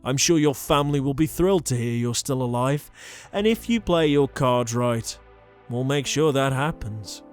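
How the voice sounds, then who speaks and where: An actor playing a zealous, smug holy inquisitor in an audio drama, speaking in a pompous, deliberate, formal manner. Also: male voice, medium pitch, soft background music, lightly acted. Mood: threatening. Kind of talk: dramatic audio roleplay acting.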